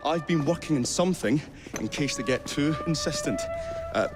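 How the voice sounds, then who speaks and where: A man's voice: a little high, normal speed, music in the background, natural voice. An actor playing a nervous, eccentric young male inventor who hesitates with many ums and uhs, in a game cutscene. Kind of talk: video game cutscene acting.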